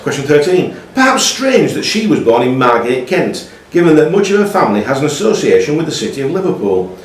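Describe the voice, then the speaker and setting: Male quiz host, animated intonation, reading out questions, roomy and slightly distant pub recording. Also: on the high side, a little fast. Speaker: quiz master. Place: pub room during a live quiz.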